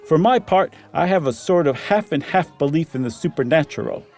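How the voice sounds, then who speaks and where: A man voicing a brash, eager young English gentleman in a theatrical, put-on British accent, reading a ghost story aloud. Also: on the high side, lively intonation, a little fast, soft background music, voice pushed up, natural voice. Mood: blandly confident.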